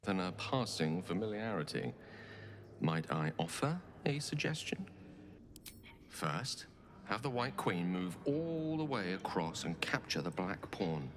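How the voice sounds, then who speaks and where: A man's voice: low-pitched, big pitch swings, measured pace. A man playing a scholarly, courteous advisor in a fantasy video game, speaking formally and precisely. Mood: courteous, instructive. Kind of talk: video game character dialogue. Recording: long hall reverb, noisy ambience behind.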